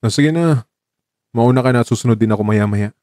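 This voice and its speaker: A man's voice: mid-range voice, a little fast, mellow, no room sound, studio quality, natural voice. An actor playing a guarded, weary young man in love in a romantic radio drama, with an understated, evasive casual manner. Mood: subdued, wistful.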